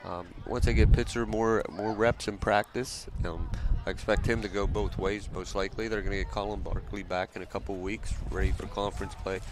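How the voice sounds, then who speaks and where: A man giving color commentary on a high school football game, halting, with repeated words and fillers. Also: steady tone. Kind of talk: postgame sports analysis.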